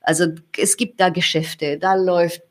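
A German woman giving a thoughtful interview, talking things through with many pauses and restarts. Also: fairly low pitch, steady tone. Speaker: interview guest. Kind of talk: reflective conversational interview.

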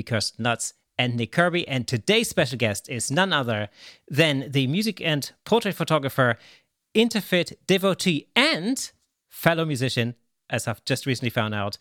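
A man's voice: mid-range voice, big pitch swings, moderate pace, fairly bright tone, no echo, clean studio sound. A man hosting a podcast in an upbeat, jokey way, introducing a guest. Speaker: podcast host.

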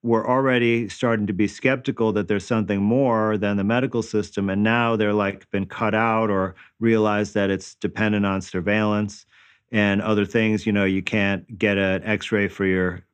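A man's voice: lowish voice, level tone, normal speed, clean tone. A man in his fifties answering interview questions conversationally, explaining things and often checking for agreement. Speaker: interview guest, natural healing practitioner.

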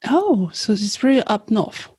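A woman's voice: medium pitch, sing-song, measured pace, warm tone, breathy edge, no echo, clean studio sound. A woman hosting an interview, reading a scripted introduction, then asking questions as an attentive, encouraging listener. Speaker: podcast host.

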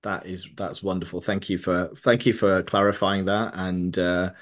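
A man with a fairly low voice hosts an interview show. He reads his introduction smoothly, then turns halting and hesitant, circling back through fillers and false starts as he asks his questions. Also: flat delivery. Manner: hesitant, many restarts and fillers when unscripted. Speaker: podcast host.

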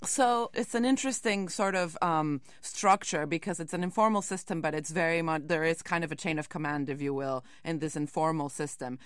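A woman speaks as a reporter being interviewed. Her voice sits on the low side and is recorded very dry. She explains things in a searching way, with hedging asides. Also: brisk, few pauses, clean tone, dry recording.

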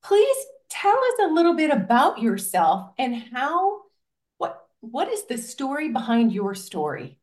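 A woman's voice: high-pitched, huge pitch swings, measured pace. An older woman hosting a podcast, speaking in a grateful, effusive way as she introduces her guest. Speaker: podcast host.

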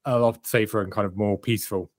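A low-pitched man in his thirties, from South Africa, talks about his life with candid reflection. He is a coach and author calling in to an interview. The sound is dry and close. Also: steady tone, fast, no room sound. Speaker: guest, performance coach and author. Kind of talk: remote interview answers.

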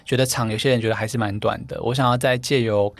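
A young man, chatting as a podcast guest and explaining things affably with frequent quick agreeing asides. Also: medium pitch, steady tone, no echo. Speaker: podcast guest, event organizer. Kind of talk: casual interview chat.

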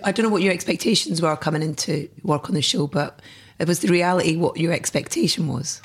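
A hoarse woman hosting and interviewing, her voice croaky from a lost voice. She chats easily and laughs along, in a slightly low register. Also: fast talker. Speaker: podcast host and interviewer. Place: film set.

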